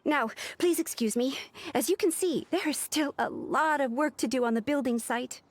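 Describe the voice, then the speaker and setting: A woman's voice: high voice, moderate pace. A woman playing a poised young official secretary in a video game, formal, courteous and precise, with a touch of pride. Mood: composed, formal.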